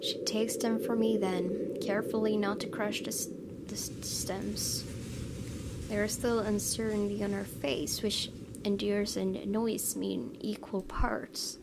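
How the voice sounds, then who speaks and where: A woman's voice: mid-range voice, little pitch movement, unhurried, gravelly. A woman hosting a gaming video, reading a visual novel aloud expressively and slipping into casual asides. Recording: noisy background.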